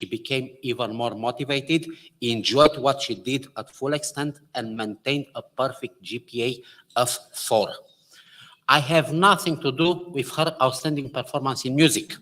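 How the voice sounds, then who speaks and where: A man with a Romanian accent, a physics professor, introduces an honoree at a ceremony. His voice sits at a middle pitch, and his delivery is enthusiastic and emphatic. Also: unhurried, sharp tone, poor audio. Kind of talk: formal introduction speech.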